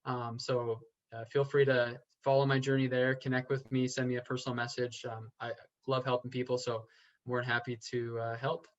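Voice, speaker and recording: A man speaking as a podcast guest in an earnest, halting way, often stopping to restart his sentences. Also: mid-range voice, flat delivery. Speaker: podcast guest, real estate investor. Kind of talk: casual interview chat. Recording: slightly dull lo-fi sound.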